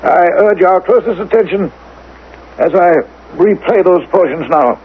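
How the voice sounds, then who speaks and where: A man playing a grave, commanding ruler of a future world in radio drama, speaking formally and with measured authority. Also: high-pitched. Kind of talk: 1980s radio drama acting. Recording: narrow-band old radio recording, faint noise.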